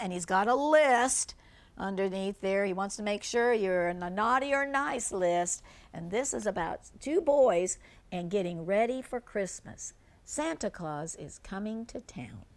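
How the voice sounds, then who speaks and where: An older woman reading a children's picture book aloud as a grandmother would, stopping to point things out simply. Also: medium pitch. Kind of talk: read-aloud storybook narration with asides about the pictures.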